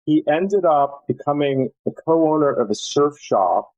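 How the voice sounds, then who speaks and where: Dry, close-sounding man giving measured advice. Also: on the high side, animated, a little mellow, no echo, dull audio. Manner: measured, emphatic, repeats key phrases. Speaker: podcast guest, publisher. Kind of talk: conversational advice in an interview.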